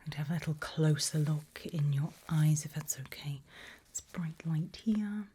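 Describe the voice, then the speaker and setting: A woman whispering in a breathy voice, playing a polite, calmly creepy medical examiner in an ASMR roleplay. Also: low-pitched, measured pace, slight background noise. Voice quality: breathy, mostly unvoiced whisper.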